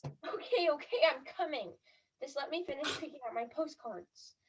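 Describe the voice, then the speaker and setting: A woman acting a shy, fibbing teenage tourist girl in a stage play, defensive and dreamy, with a husky, breathy voice. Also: high voice, animated, normal speed, highs cut off, slight background noise. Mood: hesitant.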